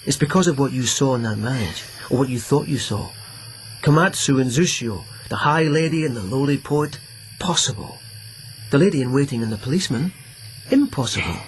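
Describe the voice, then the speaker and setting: Actor as an earnest lieutenant, mid-pitched, urgent. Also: male voice, lively intonation, a little fast, a little hiss or hum, natural voice, poor audio. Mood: pressing. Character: Lieutenant Yukinari, earnest, protective policeman lover. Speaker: lead character. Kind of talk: BBC radio drama acting.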